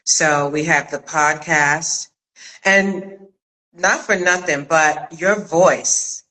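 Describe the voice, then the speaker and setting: Casting: middle-aged woman, chat host, bright forward voice, slow and halting delivery. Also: on the low side, poor audio. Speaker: interview host and director. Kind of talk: casual live chat interview.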